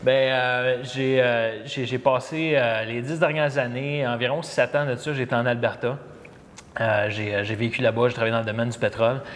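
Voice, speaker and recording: A man in his thirties from Montreal with a Québécois accent, a podcast guest who tells his story in a halting way, with many fillers and repeats. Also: medium pitch, fairly even intonation. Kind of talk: casual interview storytelling. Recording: long reverb, faint background noise.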